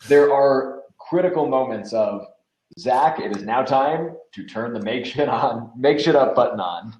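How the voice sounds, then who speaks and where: A man's voice: medium pitch, lively intonation, unhurried, a little mellow. A man chatting casually as an interview guest, a voice actor who slips into acting out quoted phrases. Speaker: podcast guest, audio drama voice actor. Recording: roomy, echoing sound.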